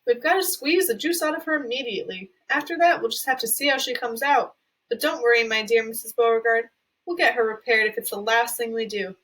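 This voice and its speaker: An eccentric male candy maker from a storybook reading, fussing and sighing over his failed inventions, as a woman reads him. He speaks quickly and fretfully, then turns apologetic. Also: on the high side, a bit bright, low-quality recording. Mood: fussy.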